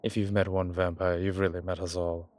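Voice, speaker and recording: A man playing an ancient vampire commander in an intimate audio roleplay, speaking with formal, old-fashioned diction and a measured, haughty manner. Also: sits low, level tone, darkish tone, dry recording, natural voice. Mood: warm, gracious. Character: ancient male vampire commander, haughty yet tender to his companion. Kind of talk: intimate audio roleplay acting, one side of a conversation.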